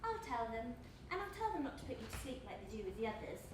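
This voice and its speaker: A woman's voice: a little high, big pitch swings. Woman acting a menacing woman, echoey stage sound. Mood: cold menace. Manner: deliberate, taunting, repetitive phrasing. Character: menacing, cruel woman calmly threatening her victim. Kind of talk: live stage play acting. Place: indoor stage of a live theatre performance. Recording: echoey theatre, distant mic, noisy.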